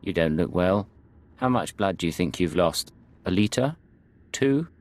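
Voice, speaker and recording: A man voicing a cold, controlled secret agent in a video game, measured and clinical. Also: low-pitched, animated, darkish tone.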